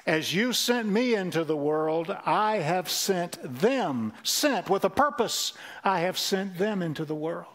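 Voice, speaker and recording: A man's voice: sits high, animated, measured pace, few pauses, fairly bright tone. A man in his sixties, a senior pastor, preaching an earnest sermon on a church stage into a headset mic, often repeating phrases. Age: 60s.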